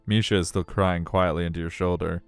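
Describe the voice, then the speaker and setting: A man's voice: deep voice, steady tone, fairly quick, creaky, clean tone, no echo, faint music behind. A man streaming a live read-aloud of a visual novel, mixing narration with casual chat.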